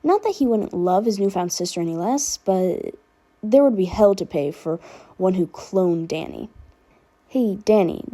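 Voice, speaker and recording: A woman reading fan fiction aloud as an expressive audiobook narrator. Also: fairly low pitch, normal speed. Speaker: podfic narrator.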